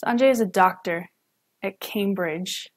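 A young woman, a student interpreter, voicing a signed lecture live at home into a webcam, pausing and correcting herself as she goes. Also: mid-range voice. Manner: halting, with self-corrections and restarts. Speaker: student sign language interpreter.

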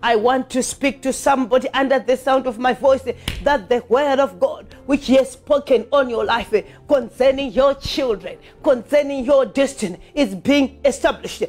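A high-voiced woman preaches a fervent sermon over faint music. She exhorts her listeners with emphatic repetition, moving between scripture and prayer. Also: normal speed, hardly any pauses, darkish tone.

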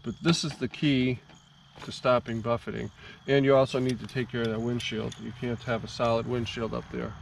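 A man vlogging about his motorcycle in a casual, halting way, with plenty of ums, later talking from inside a helmet while riding on the highway. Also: little pitch movement, gravelly. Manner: halting, many ums and restarts. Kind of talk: casual how-to talk. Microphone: helmet mic in a full-face helmet. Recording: muffled helmet mic with loud wind noise. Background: loud wind and road noise.

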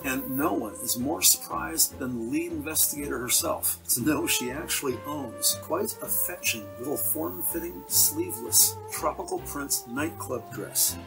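Higher-pitched man narrating over music. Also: big pitch swings, a little slow, vocal fry, few pauses, low-quality recording. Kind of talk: scripted narration of a detective audio drama. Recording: music under the voice. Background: dramatic score music.

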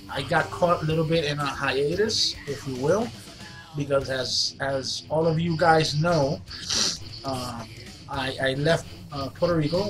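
A man's voice: fairly high pitch, low-quality recording. A man in his fifties with a stuffed-up, congested voice, giving a rambling webcam update to his gaming channel's viewers, with lots of fillers and restarts. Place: small room with wood-panel wall. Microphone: webcam at desk. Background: guitar music playing.

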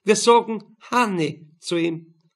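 A man's voice: high voice, slow, voice pushed up, exaggerated voice. A man voicing a brash, mocking middle-aged Viennese prostitute in an audiobook, blunt and teasing. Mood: scornful, blunt. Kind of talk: audiobook character voice.

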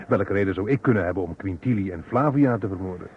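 A man's voice: sits low, lively intonation, a little fast, highs cut off. An actor playing a wry, composed man under suspicion, understated and a little hesitant, in an old radio drama. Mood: wry, resigned. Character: wry, composed male murder suspect and amateur helper. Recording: thin vintage radio recording, faint noise.

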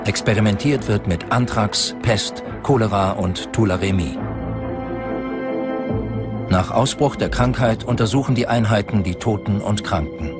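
A man's voice: on the low side, measured pace, over loud music. A man from reads a scripted documentary narration in a sober, factual way.

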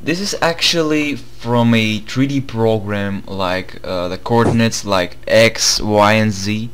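A young man with a Bulgarian accent co-hosting a casual gaming news commentary, chatty and halting with many fillers. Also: fairly low pitch, fairly bright tone, clean tone. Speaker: gaming channel co-host.

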